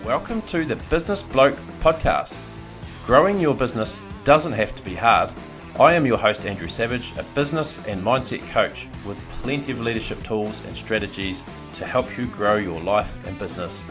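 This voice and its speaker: A man's voice: medium pitch, lively intonation, moderate pace, over music. A man with a New Zealand accent hosting a business podcast in a studio, with an upbeat, enthusiastic delivery. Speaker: podcast host, business coach. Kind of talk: scripted podcast intro and interview.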